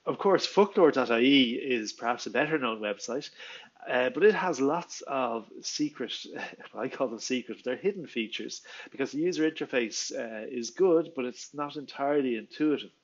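Man, forties, irish accent, native irish speaker, enthusiastic explainer talk, mid-pitched voice, muffled headset recording at home. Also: animated, moderate pace. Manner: enthusiastic, chatty, many fillers and restarts. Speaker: Irish language teacher. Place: small living room at home. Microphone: headset, video call framing.

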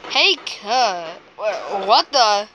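A woman's voice: medium pitch, big pitch swings, drawn-out words, bright, forceful tone, slight background noise. A woman performing an amateur comic dub, acting out cartoon characters.

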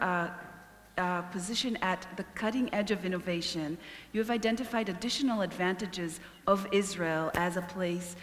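A woman moderating a panel on a conference stage, asking slow and hesitant questions. Her voice sounds roomy and echoes on with a long reverb in the large hall. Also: fairly low pitch. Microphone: handheld mic.

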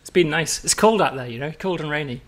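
A man's voice: a little high, fast talker, fairly bright tone. A man, a game designer, chatting easily with a colleague, laughing often and rambling with restarts. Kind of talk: casual chat with a colleague.

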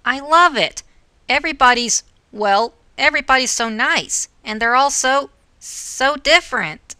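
A woman's voice: high-pitched, a little slow, bright, forceful tone. A woman voicing a small, shy, innocent young talking pumpkin, earnest and hesitant, in a children's show. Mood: unsure, earnest.

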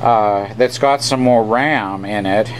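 A man with a fairly low voice walks through a technical repair in a casual, unscripted way. He sounds matter-of-fact, like someone demonstrating at a workbench on a handheld camera. A faint steady hum and room noise sit behind him. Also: slow. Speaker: radio repairer presenting his work. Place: indoor workbench.

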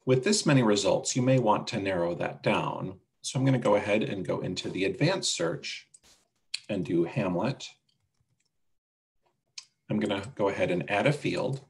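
A man presenting a casual software walkthrough on a video call, explaining things step by step. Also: lowish voice, animated, moderate pace, gravelly. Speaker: webinar presenter demonstrating a website.

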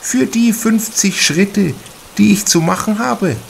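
A man's voice: sits high, slow, vocal fry, a little hiss or hum, pitched above the performer's own voice, heavily acted. A man voicing a small, thin, prim older female piano teacher who speaks in an affected, stubbornly dismissive way in an audiobook. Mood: breezy.

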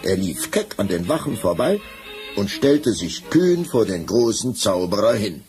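A man with a deep voice tells a fairy tale expressively. His pitch moves very widely, and prominent music plays behind him. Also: unhurried. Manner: expressive, dramatic storytelling. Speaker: narrator. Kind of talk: scripted fairy-tale storytelling.

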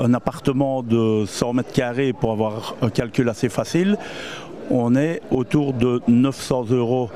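A middle-aged man at a fair stand explains his product in a steady, mid-pitched voice. He stops often with hesitant fillers, and his tone sounds dark and mellow. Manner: hesitant, with many "euh". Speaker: exhibitor, heating salesman. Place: exhibition stand in a hall.

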